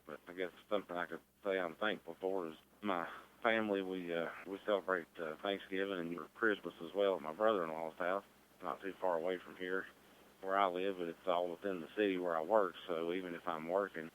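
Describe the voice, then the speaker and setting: A man's voice: low voice, fairly bright tone, slight background noise, rough recording. A man giving a casual, rambling check-in over an amateur radio link. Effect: amateur radio transmission. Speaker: ham radio net participant.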